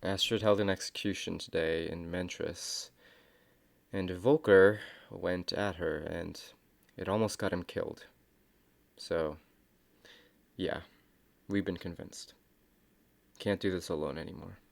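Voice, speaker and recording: A man's voice: low voice, steady tone, unhurried, gravelly, pauses often, dry recording. An actor playing an easygoing, world-weary male rebel fighter in an audio drama.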